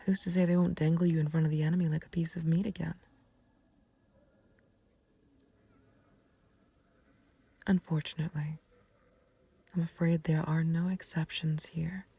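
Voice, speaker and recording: A woman playing a calm, taunting villain in an intimate roleplay audio, speaking in a breathy near-whisper with unhurried condescension and mock sympathy. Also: deep voice, no echo, full character voice. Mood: mocking disdain. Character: calm, taunting female villain, menacing yet fond of a friend. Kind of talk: scripted roleplay audio acting, intimate ASMR.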